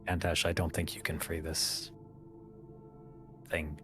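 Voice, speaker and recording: Dude in a tabletop rpg, super deep voice, talking in character, music going behind him. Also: male voice, level tone, slow pace. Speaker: tabletop game player. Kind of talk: in-character roleplay discussion.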